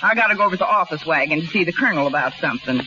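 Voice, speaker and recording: Carnival schemer, female: knowing, slangy, animated pitch, old radio drama with clear background noise. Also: racing. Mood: breezy, teasing. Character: scheming, breezy carnival woman playing matchmaker. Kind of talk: 1930s radio drama acting. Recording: noisy muffled 1930s radio recording.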